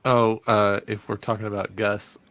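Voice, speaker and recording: A man's voice: on the low side, level tone, moderate pace, a bit bright, no echo. A man speaking casually as a panelist in a book discussion.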